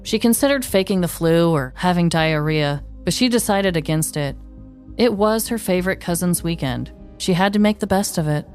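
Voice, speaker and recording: A woman's voice: on the low side, lively intonation, normal speed, darkish tone, soft background music. A woman podcast host narrating a scripted comedic story.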